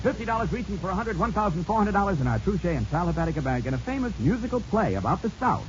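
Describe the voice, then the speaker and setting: A man's voice: sits high, very animated, very fast, noisy background. An American man hosting an old-time radio quiz show with folksy, chatty, teasing banter, on a muffled vintage recording. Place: radio studio show with audience.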